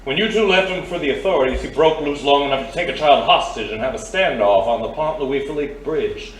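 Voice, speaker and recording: A man's voice: on the high side, a little fast, lightly acted. A man playing a genteel, avuncular underworld boss in a pulp adventure audio drama. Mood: dry, grave. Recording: roomy, distant mic, faint noise.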